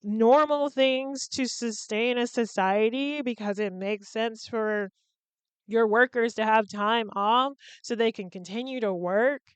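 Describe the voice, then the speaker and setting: A woman's voice: on the high side, measured pace, clear, resonant tone, dry recording. A young woman hosting a solo podcast, chatting in a rambling, candid way with lots of "like" and some swearing. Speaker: podcast host.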